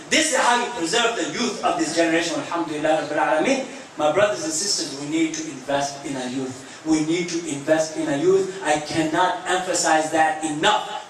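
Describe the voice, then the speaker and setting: A man delivers an impassioned sermon in a high-pitched voice. He drives his points home with repetition and rhetorical questions. The sound is distant and very echoey, as if picked up from across a large room. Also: fairly bright tone. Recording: very echoey room, distant mic, faint noise.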